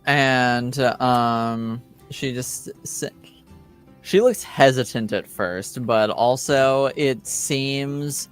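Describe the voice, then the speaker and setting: A man runs a tabletop game with a clean, resonant voice of medium pitch. He narrates casually and very slowly, pausing deliberately. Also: clean tone, faint music behind. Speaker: game master.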